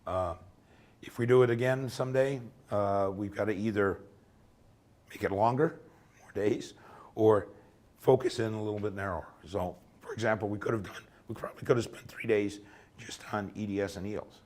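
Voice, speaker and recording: A man with a fairly low voice lectures a workshop in a loose, conversational way. His voice is wearing out and turning hoarse, and he keeps checking in with the room. It sounds like a lecture room. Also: moderate pace, darkish tone, a little hiss or hum. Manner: conversational, often ends points with "okay?". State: losing his voice. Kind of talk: informal technical lecture.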